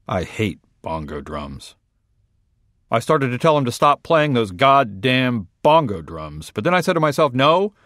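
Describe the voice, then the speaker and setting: A man reading a first-person short story aloud in a measured, deadpan manner. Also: lowish voice, dry recording, clean studio sound. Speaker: reader. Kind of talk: literary fiction reading.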